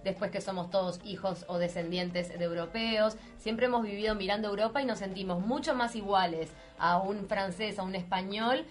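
A woman with a Uruguayan accent, speaking in a measured, reflective way as co-host of a casual discussion. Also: mid-range voice, faint music behind. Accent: Uruguayan Spanish.

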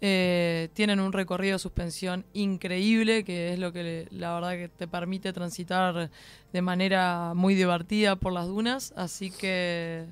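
Chatty Uruguayan woman, smooth voice. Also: lowish voice, unhurried. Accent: Uruguayan Spanish. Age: young adult. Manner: relaxed, chatty, with fillers and restarts. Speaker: rally driver guest. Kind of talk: radio interview.

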